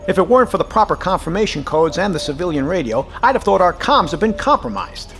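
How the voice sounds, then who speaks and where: An actor playing a gruff, sarcastic, exasperated submarine captain in an audio drama, with a profane, sardonic way of talking. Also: male voice, high voice, very animated, fast talker, fairly bright tone, faint music behind, heavily acted. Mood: irritated relief.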